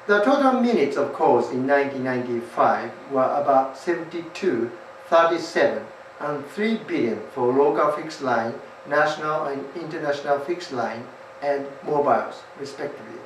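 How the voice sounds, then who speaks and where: A middle-aged man, a native Japanese speaker with a Japanese accent, giving a formal, measured scripted lecture as an English test tutor. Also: medium pitch, expressive, a little hiss or hum. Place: small indoor studio. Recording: roomy, slightly distant sound.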